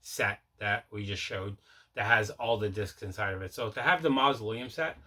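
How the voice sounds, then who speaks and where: A man's voice: fairly low pitch, moderate pace, sharp tone. A man hosting a casual unboxing vlog, chatting enthusiastically and often repeating himself. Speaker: host of a collecting video show.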